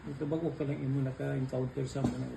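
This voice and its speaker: A man's voice: mid-range voice, level tone, quick pace, gravelly, background noise. A man talking casually with family. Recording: roomy, chatter from people around.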